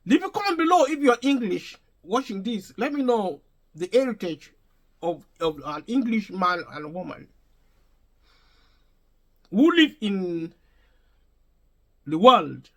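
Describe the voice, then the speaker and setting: A man's voice: high voice, big pitch swings, slow pace, pauses often, a bit bright. A man vlogging an unscripted opinion monologue to his viewers, emphatic and prone to restating and rephrasing his points. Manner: emphatic, addresses viewers directly.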